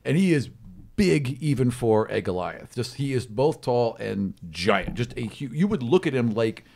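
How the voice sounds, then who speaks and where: A man's voice: medium pitch, very animated, normal speed, gravelly, a little mellow. A man acting as game master, improvising fantasy narration in a colloquial, storytelling way.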